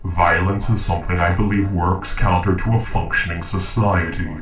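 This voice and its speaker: A man's voice: sits low, fairly even intonation, fairly bright tone, faint music behind. A man voicing a polite, logical robot in a scripted audio roleplay, speaking formally and precisely. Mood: calm warning. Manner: formal, measured, precise diction. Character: polite, logical humanoid robot interrogating a captive human. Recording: roomy echo, distant from mic.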